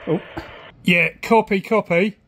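A man's voice: high voice, fairly even intonation, takes it slowly, fairly bright tone, a little hiss or hum. Chatty middle-aged southern English man. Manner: chatty, informal. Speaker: radio hobbyist vlogger. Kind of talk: casual vlog chat and radio calls. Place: house and back garden.

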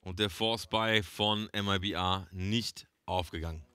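A man's voice: deep voice, fairly even intonation, measured pace, pushed and bright, smooth, no room sound. A man casting a game alone as play-by-play commentator, chatty and addressing viewers as friends. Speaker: esports caster. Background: game gunfire and clicks.

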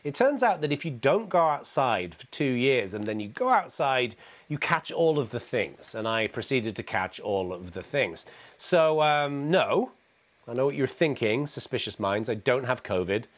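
Gravelly man with a head cold. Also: medium pitch, moderate pace. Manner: energetic, conversational, asks "okay?" often. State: hoarse from a cold. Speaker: keynote speaker. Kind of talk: conference keynote presentation. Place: home office with neon sign.

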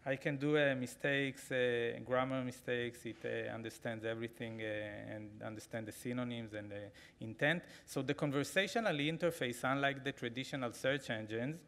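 A man in his forties with an Israeli accent, giving a keynote talk with a live demo from a podium microphone, halting with frequent fillers and restarts. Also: medium pitch, fairly even intonation, a bit bright. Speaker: conference keynote speaker. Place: large conference hall, at a podium.

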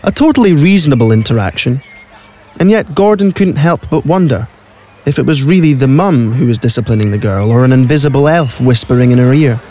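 A man's voice: mid-range voice, expressive, brisk, dark tone. A man narrating a scripted story for an audio play.

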